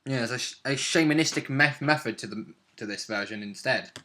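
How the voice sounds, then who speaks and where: Male tabletop player, bright voice at middle pitch, casual conversational delivery, interjecting agreements and add-ons. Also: fairly quick. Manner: interjecting with brief agreements and additions. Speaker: tabletop role-play player. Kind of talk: casual chat about a tabletop game.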